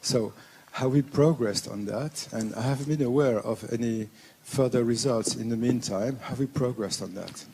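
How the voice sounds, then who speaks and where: A man in the audience asking a spontaneous question, halting with many fillers and self-corrections. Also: medium pitch, creaky, warm tone, rough recording.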